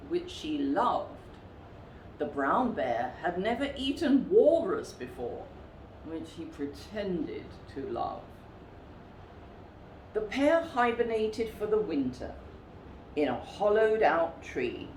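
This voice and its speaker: A woman storyteller narrating a children's picture book in a dramatic, measured, expressive way. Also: mid-range voice, roomy sound, slight background noise, poor audio.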